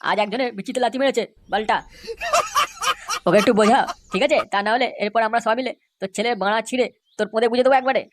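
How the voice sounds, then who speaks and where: A woman's voice: fairly high pitch, fast talker, very noisy, close to an everyday voice. Cartoon henchman played by a woman: bullying, sweary, rattling off threats at top speed. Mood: threatening. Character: foul-mouthed bullying young street thug, cocky henchman. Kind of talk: comic cartoon dubbing.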